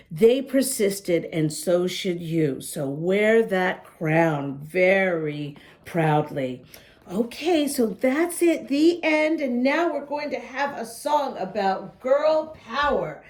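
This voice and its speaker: A middle-aged woman reads a children's picture book aloud at a leisurely, unhurried pace. Her voice sits at an ordinary middle pitch, and her gentle manner lets her pause to comment on the pictures. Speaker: children's story reader.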